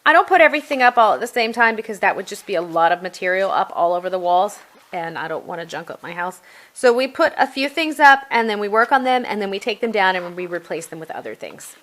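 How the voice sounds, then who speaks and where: A middle-aged woman gives a casual product walkthrough in her home. Her voice sits at an average pitch, and she speaks in an explanatory, conversational way, as a homeschooling parent would to other parents. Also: lively intonation, fast, fairly bright tone.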